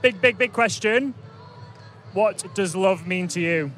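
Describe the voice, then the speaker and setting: A man's voice: sits high, slow pace, a bit bright, soft background music. A man hosting a podcast, interviewing people outdoors at a festival with enthusiastic energy. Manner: enthusiastic, repeats "big, big question". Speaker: podcast host. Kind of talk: upbeat vox-pop interviewing.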